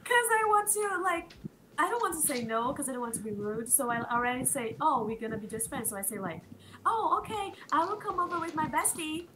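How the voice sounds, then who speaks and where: Teen woman, Brazilian Portuguese accent, higher voice, very lively pitch, giggly, hesitant, noisy video call. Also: a little fast. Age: teens. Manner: giggly, hesitant, lots of fillers. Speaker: guest. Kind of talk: casual video-call chat.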